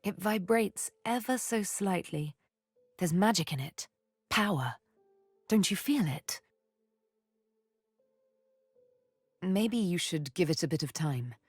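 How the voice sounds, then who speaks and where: An actress voicing a seductive, menacing elven assassin woman in a fantasy game, intimate and teasing, with breathy, deliberate delivery. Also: on the low side, lively intonation, no echo, faint music behind. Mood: curious, fond. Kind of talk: dramatic fantasy game voice acting.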